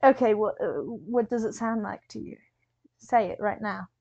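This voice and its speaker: A woman's voice: medium pitch, moderate pace, creaky, a little mellow, no room sound, highs cut off. A woman playing a tabletop game, giggly and often laughing in casual banter.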